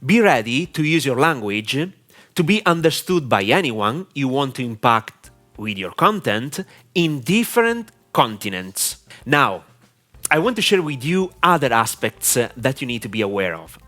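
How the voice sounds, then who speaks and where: A man with an Italian accent, whose first language is Italian, giving a deliberate, clear instructional talk to camera with frequent "uh" fillers. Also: medium pitch, sing-song, fairly bright tone, soft background music. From Italy. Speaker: online marketing mentor.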